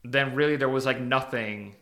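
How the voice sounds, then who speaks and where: A man's voice: medium pitch, moderate pace, pushed and bright. A man hosting a podcast, chatting in an informal, bantering way. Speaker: podcast host. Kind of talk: casual podcast chat.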